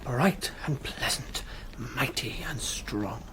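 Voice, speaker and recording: An actor playing an idealistic, self-doubting young gentleman agitator, earnest and well-spoken, in a radio drama. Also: medium pitch, expressive, slow pace, very noisy. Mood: musing.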